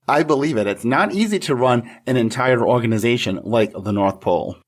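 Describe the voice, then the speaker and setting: A man's voice: mid-range voice, lively intonation, brisk. A man hosting a podcast, interviewing in a polite, playful, partly scripted style.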